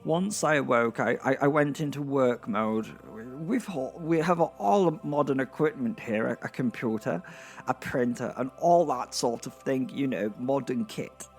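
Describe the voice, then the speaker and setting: Man acting a fussy heavenly clerk, gravelly. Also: medium pitch, measured pace, lightly acted. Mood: officious, fussy. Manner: hesitant, stammering, self-important. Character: nervous, officious, fussy male heavenly gate attendant. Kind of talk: monologue in comic fantasy audio drama. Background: music.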